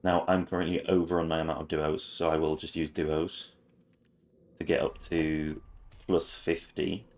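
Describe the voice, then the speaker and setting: A man with a raspy voice this morning, talking through a game guide with many ums and restarts, slipping in words such as "whilst". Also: extremely low pitch, flat delivery, normal speed, a little mellow. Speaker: gaming channel host.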